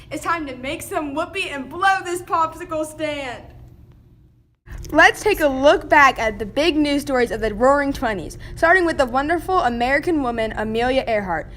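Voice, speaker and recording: A female radio host with a high voice reads a scripted show in Roaring Twenties style, full of old slang. There is faint noise behind her. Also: fairly bright tone.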